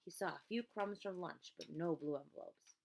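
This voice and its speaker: Woman, storybook narration, read aloud, gravelly fry, lowish pitch. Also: moderate pace. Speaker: audiobook reader. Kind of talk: scripted narration of a children's story.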